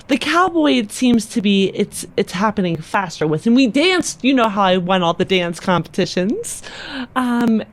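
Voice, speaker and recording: A woman roleplaying casually in character as a player in a tabletop game. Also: a little high.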